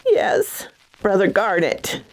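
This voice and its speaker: A woman's voice: medium pitch, huge pitch swings, slow, exaggerated voice. An actress playing a frightened, bewildered, fragile lady in a radio melodrama, hesitant and breathless. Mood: fearful. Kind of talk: classic radio drama acting.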